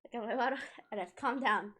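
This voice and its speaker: Giggly high-voiced young woman streamer. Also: fast talker, bright, forceful tone. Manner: giggly and emotional, laughing through words, restarts often. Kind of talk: casual live-stream chat with viewers.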